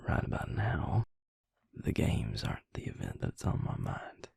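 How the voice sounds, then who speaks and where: A man playing an affectionate, laid-back vampire mate in an intimate roleplay audio, speaking in a breathy, gravelly murmur with tender, hesitant pauses. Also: a little mellow, no echo, in a whisper, clean studio sound, close to an everyday voice. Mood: musing, relaxed.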